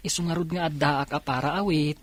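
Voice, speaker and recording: An actor with a Filipino accent playing a dutiful, hardworking teenage son in a radio drama, earnest and respectful. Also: male voice, a little high, steady tone, moderate pace, dry recording, lightly acted. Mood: willing, caring. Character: dutiful, hardworking teenage son and older brother from poor village. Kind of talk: Ilocano radio drama acting.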